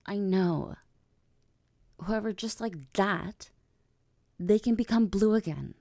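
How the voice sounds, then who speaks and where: Woman acting as composed, mellow vampire queen. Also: mid-range voice, slow pace, gravelly, no echo, clean studio sound. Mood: measured, serious. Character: regal, teasing vampire queen, composed older-cousin type. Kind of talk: ASMR audio roleplay acting.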